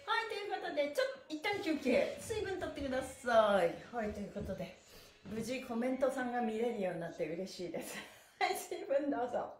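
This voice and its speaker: A woman's voice: on the high side, sing-song, brisk. A middle-aged woman leading a live stretching class in an exuberant, cheerleading manner, calling out instructions and chants. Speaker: stretching instructor, mime school director. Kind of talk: live-streamed exercise class with counting chants. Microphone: camera mic a few metres away. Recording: echoey small room, camera mic.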